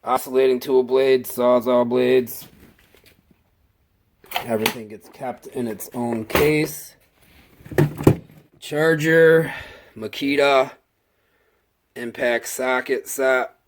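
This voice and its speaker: Slow-talking man with a stuffy cold. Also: mid-range voice, steady tone, background noise. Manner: slow, halting, enthusiastic about gear. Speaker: maintenance tech showing his tools. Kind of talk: casual tool walkthrough.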